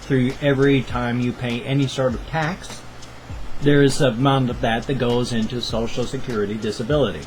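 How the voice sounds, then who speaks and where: A man's voice: medium pitch, a little hiss or hum. Chatty rambling man vlogging on webcam. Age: 50s. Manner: chatty, rambling, jokey asides. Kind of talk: casual daily vlog. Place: home, webcam setup.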